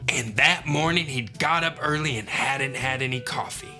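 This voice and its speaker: A man in his thirties reading a children's book aloud as a comedic host, playful and prone to loud high braying. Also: moderate pace, faint music behind. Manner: playful, bursts into loud high donkey brays. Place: indoor studio with yellow couch.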